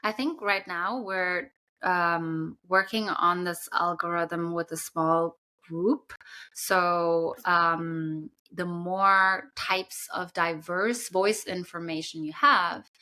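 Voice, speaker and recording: A young woman co-hosting a casual podcast chat, explaining at length with frequent ums and pauses. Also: on the low side, fairly even intonation, pushed and bright. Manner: thoughtful, explains at length with many fillers. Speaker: podcast co-host.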